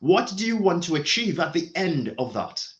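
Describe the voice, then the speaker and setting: A man delivers a motivational talk in a high, animated voice that swings up and down as he drives each point home. He leans on repetition and says key lines twice. The recording sounds dull and lo-fi. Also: normal speed. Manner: emphatic, repeats key phrases. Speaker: motivational speaker.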